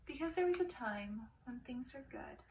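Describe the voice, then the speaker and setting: A woman reads a dark fairy-tale story aloud in ASMR style, as a dramatic storyteller who draws out words and slips toward whispers. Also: high-pitched, animated, normal speed. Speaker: audiobook reader. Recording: distant mic with faint noise.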